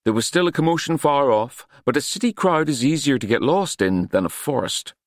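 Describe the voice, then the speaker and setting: A man's voice: medium pitch, expressive, a little fast, dry recording, studio quality. A man reading scripted fiction as an audiobook narrator, in a dramatic storytelling style.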